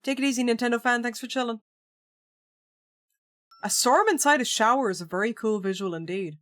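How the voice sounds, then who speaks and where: A woman streamer chatting casually with her viewers during a live stream of a visual novel, animated and reactive. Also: a little high, a little fast.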